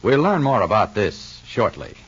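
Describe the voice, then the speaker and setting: A muffled old radio recording of a male announcer from the 1950s. His mid-pitched, husky voice swoops dramatically as he delivers polished, emphatic copy. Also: sing-song, moderate pace. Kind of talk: 1950s radio narration and ad reading.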